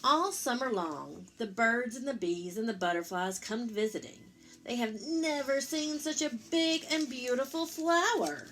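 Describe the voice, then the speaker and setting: A woman's voice: on the high side, animated, unhurried, fairly bright tone, slight background noise. A woman, a teacher reading a picture book aloud to young children, with simple explanatory asides and questions for her listeners.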